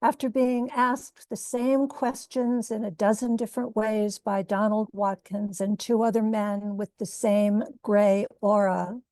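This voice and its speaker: Slow, creaky-voiced woman reading fiction. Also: fairly high pitch, warm tone, no room sound. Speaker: author and host reading own novel. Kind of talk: measured literary reading.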